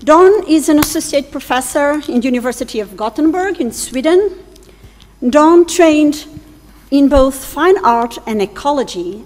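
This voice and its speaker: A woman chairing a conference session, reading a speaker introduction with a few hesitations. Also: on the high side. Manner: reads a warm introduction, some hesitations. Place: large auditorium stage. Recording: long hall reverb, faint hum.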